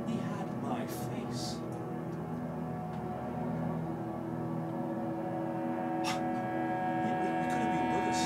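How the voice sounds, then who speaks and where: An actor with a British accent playing a haunted, obsessive man confessing to a killing in a halting, repetitive live horror monologue that swings between hush and shouting. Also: high-pitched. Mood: uneasy, dread. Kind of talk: live dramatic monologue of horror audio drama. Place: small dim café stage before seated audience. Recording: distant live room mic, music behind.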